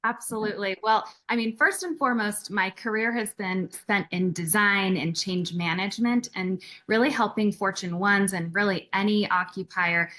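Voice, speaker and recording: A woman's voice: mid-range voice, moderate pace, few pauses, sharp tone. A woman executive introducing her work on an online panel in a fluent, businesslike manner. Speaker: guest executive.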